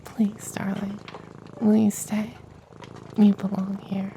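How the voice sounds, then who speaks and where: Casting: actor for a powerful, soothing femboy witch, soft ASMR delivery with even intonation and gravelly edges, faint noise behind. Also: mid-range voice, low-quality recording. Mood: tender, reassuring. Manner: hushed, partly whispered, slow and soothing. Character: tender, powerful femboy witch of a magical forest. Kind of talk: ASMR fantasy roleplay.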